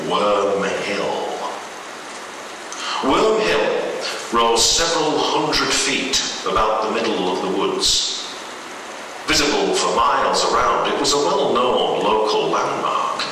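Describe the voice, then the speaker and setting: A man reading a horror story in the first person, in a measured, halting delivery with pauses. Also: on the low side, expressive. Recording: very echoey, distant, noisy hall recording.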